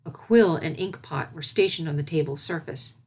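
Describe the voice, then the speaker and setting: Low-voiced woman narrating a story. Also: normal speed, breathy edge. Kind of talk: scripted storybook narration of fan fiction.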